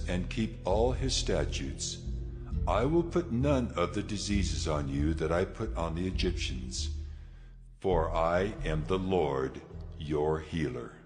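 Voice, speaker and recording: A majestic male deity speaks in a very low, solemn voice. The delivery is measured and authoritative, as in a scripture drama. Long reverb surrounds it, with music behind. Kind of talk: dramatized scripture audio acting.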